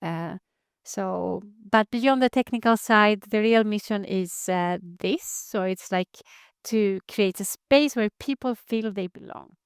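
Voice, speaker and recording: A woman's voice: medium pitch, slow, smooth, dry recording, studio quality. A woman in her thirties who grew up in Greece, a community founder answering interview questions earnestly, with many fillers and restarts. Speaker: community founder, data engineer.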